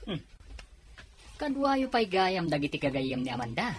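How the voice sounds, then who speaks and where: A woman voicing a defiant, curt young man with a husky voice and an Ilocano accent in an audio drama. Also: fairly low pitch, big pitch swings, unhurried, slight background noise. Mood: defensive, cold. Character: defiant, unrepentant young man rejecting his wife.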